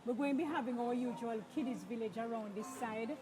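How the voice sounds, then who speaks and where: A woman's voice: fairly high pitch, brisk. A woman hosting a live outdoor event broadcast, upbeat and prompting her co-host with questions. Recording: crowd noise behind. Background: crowd chatter.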